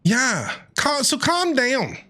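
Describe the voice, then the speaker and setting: A woman's voice: high-pitched, wildly swooping pitch, slow pace, bright, forceful tone. A woman streamer giving casual, sassy game commentary and playfully talking back to the characters. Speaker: gaming streamer. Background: game background music.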